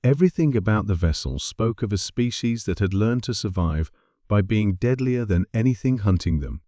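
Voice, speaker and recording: A man reading a scripted science fiction story in a measured, dramatic storytelling manner. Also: on the low side, lively intonation, warm tone, no echo, clean studio sound. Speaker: story narrator.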